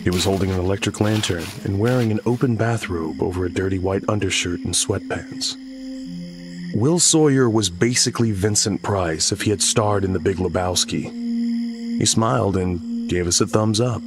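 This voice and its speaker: A male narrator delivers a scripted horror story in the first person. His voice sits somewhat low, and loud music runs behind him throughout. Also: moderate pace.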